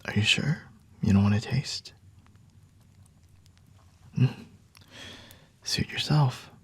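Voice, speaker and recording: Breathy, whispering flirty male vampire, acted by a man. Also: medium pitch, no room sound. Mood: teasing, smug. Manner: teasing, unhurried, condescending. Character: flirty, smug male vampire toying with a captured hunter. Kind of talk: whispered ASMR roleplay.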